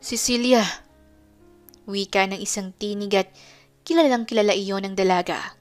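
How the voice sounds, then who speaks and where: A woman's voice: medium pitch, fairly even intonation, moderate pace, soft background music. A woman giving a dramatic scripted narration of a romance story.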